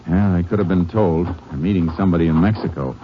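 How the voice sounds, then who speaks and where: A man's voice: deep voice, quick pace, a little hiss or hum, close to an everyday voice. An actor playing a steely, resolute Texas Ranger who narrates his cases tersely, in 1950s American radio western style. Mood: brisk, businesslike. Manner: terse, matter-of-fact. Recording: dull old radio recording with music.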